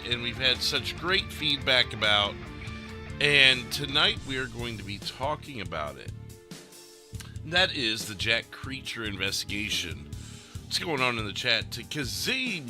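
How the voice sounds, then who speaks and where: A man hosting a gaming podcast livestream in casual chat, often pausing mid-sentence. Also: medium pitch.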